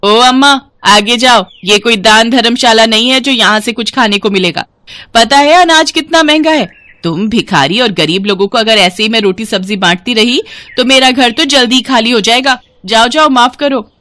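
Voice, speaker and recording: A woman's voice: fairly high pitch, normal speed, bright, forceful tone. A woman voicing a stingy, bossy sister-in-law in a moral storybook tale, with a haughty, scolding manner. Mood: scornful.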